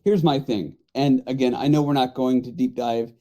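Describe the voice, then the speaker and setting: A man's voice: mid-range voice, fairly even intonation, moderate pace, warm tone. A man chatting casually and informally on a podcast panel. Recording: dull, muffled audio.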